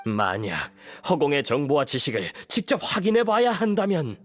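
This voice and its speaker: A man's voice: fairly high pitch, big pitch swings, moderate pace, soft background music. An actor playing a timid, apologetic male underling scholar in a game, deferential and hesitant. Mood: hesitant, puzzled. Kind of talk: dramatic Korean game voice acting.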